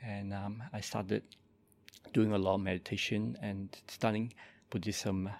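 A Buddhist monk in his forties from Malaysia, speaking softly and calmly as he introduces and leads a guided meditation. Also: fairly low pitch, creaky. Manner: slow, soft-spoken and calm, with gentle pauses.

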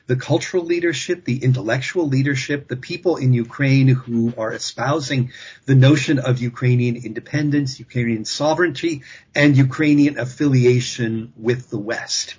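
Husky-voiced man explaining at length. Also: mid-range voice, darkish tone, highs cut off. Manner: measured, thinking aloud with frequent restarts. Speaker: expert guest. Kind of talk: panel discussion.

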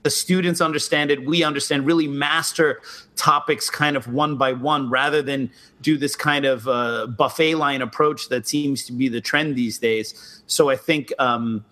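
A man co-hosting a casual martial arts podcast, explaining his teaching approach in a measured way. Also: mid-range voice, steady tone, sharp tone. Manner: explanatory, measured, mildly critical. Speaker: co-host, martial arts instructor.

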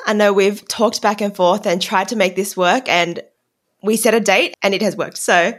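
A woman's voice: medium pitch, fairly even intonation, moderate pace. Woman hosting a casual podcast. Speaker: podcast host.

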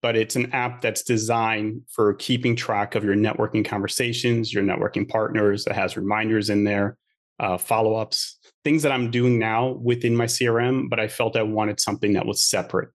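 A man's voice: lowish voice, little pitch movement, moderate pace. A middle-aged man from Queens, New York, whose first language is French, telling his story as a guest in a casual interview, chatty and joking. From Queens, New York, USA. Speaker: podcast guest.

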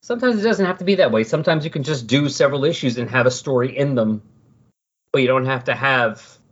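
A man's voice: mid-range voice, animated, fast, husky, highs cut off. A man hosting a podcast in casual talk, rambling and correcting himself as he goes. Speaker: podcast host.

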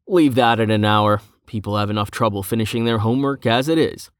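A man voicing a brisk, businesslike, good-humoured male commander in an audiobook. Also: fairly low pitch, dry recording, studio quality, natural voice.

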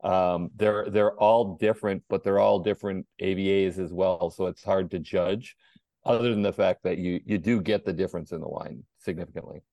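Stammering low-voiced man winemaker, dry sound. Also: fairly even intonation, moderate pace, mellow, no echo, muffled recording. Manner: stammering restarts. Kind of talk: casual panel chat. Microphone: video call.

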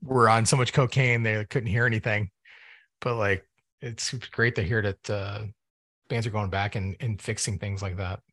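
A man co-hosting a music podcast, giving casual album critique in a measured, thinking-aloud way. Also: on the low side, fairly even intonation, a bit bright, dry recording, clean studio sound.

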